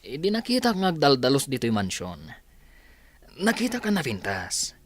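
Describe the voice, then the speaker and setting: Man acting an evasive, animated defensive son. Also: mid-range voice, moderate pace, no room sound. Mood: hesitant explaining. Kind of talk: Ilocano radio drama acting.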